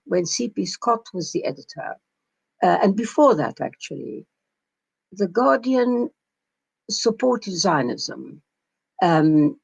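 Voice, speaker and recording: An older woman from Palestine giving a measured, reflective conference keynote, pausing often with fillers as she speaks. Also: on the low side, vocal fry, breathy edge.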